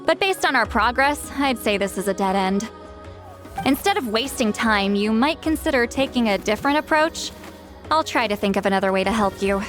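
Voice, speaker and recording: High-voiced, prickly shaman woman. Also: expressive, moderate pace, a bit bright. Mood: dismissive, matter-of-fact. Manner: curt, dry, dismissive. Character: ancient but youthful-sounding shaman woman, blunt, aloof, prickly. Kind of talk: English video game voice acting. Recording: faint background music under the voice.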